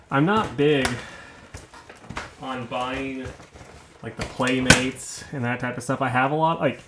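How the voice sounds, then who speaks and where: Man, gravelly voice, loose and chatty, mid-pitched, some background noise. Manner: easygoing, rambling, self-mocking. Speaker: vlogger unboxing toys. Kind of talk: casual unboxing chat.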